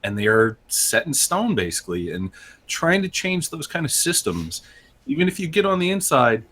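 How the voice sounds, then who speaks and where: A man's voice: a little high, big pitch swings, normal speed, fairly bright tone, slight background noise. A man co-hosting a casual podcast, loose and self-deprecating, filling gaps with 'you know'. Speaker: podcast co-host. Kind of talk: casual chat.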